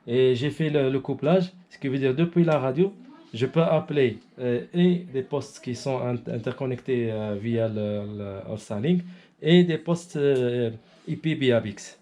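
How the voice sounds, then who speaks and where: A man's voice: mid-range voice, a little slow, dark tone. A man from Morocco, an amateur radio operator giving an informal technical demonstration while searching for words.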